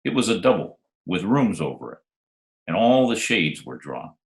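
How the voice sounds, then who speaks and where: A man playing a smooth, wisecracking hardboiled private detective in an old-time radio drama. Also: low voice, big pitch swings, normal speed. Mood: dry, wry narration. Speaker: lead actor and narrator. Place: home, remote video call recording. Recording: roomy, echoey remote home recording.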